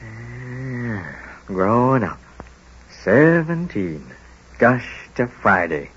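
A man playing a kindly, gently moral small-town family doctor in old-time radio drama, speaking in a folksy, hesitant, musing way. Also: medium pitch, animated, drawn-out words, husky, full character voice. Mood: concerned, resigned. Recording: dull old radio broadcast recording.

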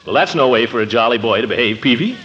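An actor playing a sociable, blustery middle-aged bachelor in 1940s radio comedy, with a hearty, grumbling manner. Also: male voice, mid-range voice, expressive, quick pace, lightly acted. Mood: hearty, bustling. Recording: noisy, muffled 1940s radio recording.

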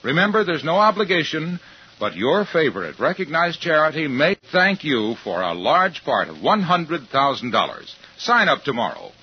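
A man's voice: fairly high pitch, huge pitch swings, normal speed, a little hiss or hum. A man delivering a 1950s radio commercial as an announcer, emphatic and polished like a salesman. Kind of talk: 1950s radio advertising pitch. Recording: dull vintage radio broadcast recording.

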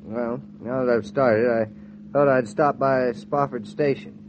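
A dull vintage radio recording with faint hiss carries an actor playing a young husband in a 1940s domestic drama. He has a middling pitch and speaks in a dry, understated way, teasing but good-natured. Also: steady tone, unhurried, close to an everyday voice. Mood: evasive.

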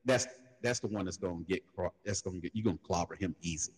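A man in a casual panel talk, laid-back and storytelling, who jumps into loud high mocking voices when he quotes people. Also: fast, breathy edge. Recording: long hall reverb.

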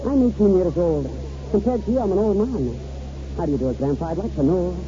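A man's voice: sits high, animated, quick pace, dark tone. A man playing an earnest, devoted eighteen-year-old Scottish lad in 1940s radio drama. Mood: earnest, wondering. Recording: muffled 1940s radio recording, music behind.

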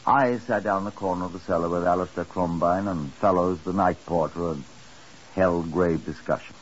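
An actor playing a calm, methodical chief inspector in a 1950s radio drama, terse and measured. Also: male voice, low voice, natural voice. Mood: measured, narrating. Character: calm, methodical police chief inspector, world-weary and dry. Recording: dull, hissy 1950s radio recording.

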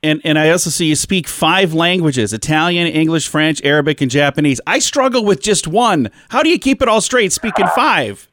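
Higher-voiced male radio host. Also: lively intonation, moderate pace, few pauses, a bit bright. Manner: friendly, jokey. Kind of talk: radio interview and banter.

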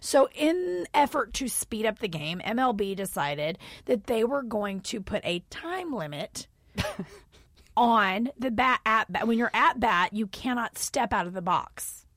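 Gravelly Southern woman chatting. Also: a little high, very animated, unhurried, a bit bright, no room sound. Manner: chatty, joking, lots of asides. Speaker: podcast co-host. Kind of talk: casual chat.

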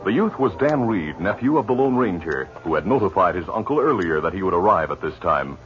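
Man, radio drama narrator, measured, low voice, muffled old broadcast. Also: big pitch swings, fairly bright tone, faint music behind.

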